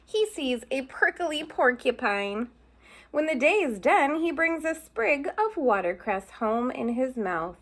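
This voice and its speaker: A woman's voice: high-pitched, big pitch swings, a little slow. A woman teacher reading a picture book aloud to young children, stopping to point out and explain the pictures. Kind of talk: storybook read-aloud for children.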